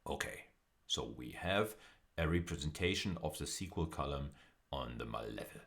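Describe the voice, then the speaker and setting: Male university lecturer, low-pitched voice, deliberate explanatory delivery over slides, restating key phrases. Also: lively intonation, creaky, a bit bright. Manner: deliberate, repeats and rephrases phrases for emphasis.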